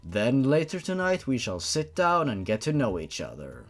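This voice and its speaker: A man voicing a towering faceless monster father in a storybook reading, formal, stern and paternal, with a deep tone. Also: wildly swooping pitch, normal speed, soft background music. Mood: solemn, tender.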